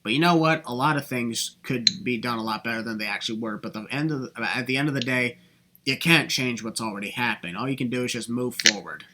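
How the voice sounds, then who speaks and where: A man's voice: mid-range voice, quick pace, heavy background noise. A young man hosting a casual podcast discussion, talking emphatically and circling back to the same phrase to hold the floor. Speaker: podcast host.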